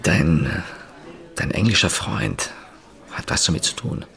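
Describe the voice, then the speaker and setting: A man's voice: low-pitched, expressive, slow, gravelly, fairly bright tone, heavily acted. An actor playing a male journalist in a radio play, talking urgently and confidingly about a secret. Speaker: journalist character.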